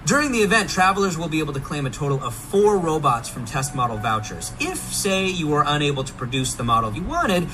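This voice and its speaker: A man giving an upbeat scripted presentation with an animated, enthusiastic manner. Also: a little high, a little fast, few pauses, poor audio. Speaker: game livestream presenter. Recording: faint music behind the voice.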